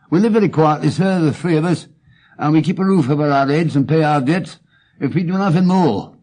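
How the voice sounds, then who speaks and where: A man's voice: on the high side, warm tone. A man playing a homely, wordy English pawnbroker in an audiobook, with an earnest, stammering manner. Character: plain, stout, red-headed male pawnbroker, earnest and wordy.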